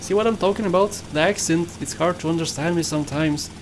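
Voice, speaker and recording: A man with a Bulgarian accent narrates his gameplay in a loose, chatty way, laughing often and teasing himself. His voice sits high for a man. A faint hiss runs under the recording. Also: fast talker. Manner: chatty, laughs often, playful self-mocking. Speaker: gaming YouTuber. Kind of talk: casual gameplay commentary.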